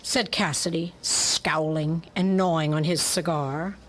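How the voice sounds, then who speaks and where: A woman's voice: deep voice, steady tone, measured pace, a bit bright. A woman reading scripted narration for a radio crime drama as its storyteller.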